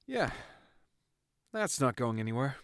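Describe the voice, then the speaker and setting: A man's voice: mid-range voice, big pitch swings, a little slow, vocal fry, fairly bright tone, full character voice. A man playing a frustrated, sardonic gamer in his late twenties, dry and grumbling, in an audio drama. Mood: annoyed. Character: frustrated, sardonic 28-year-old male gamer in wheelchair.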